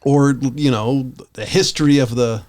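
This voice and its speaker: A man's voice: mid-range voice, fairly even intonation, measured pace, a little mellow. An American man co-hosting a podcast, chatting casually with a bantering, teasing manner. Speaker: podcast co-host. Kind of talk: casual chat.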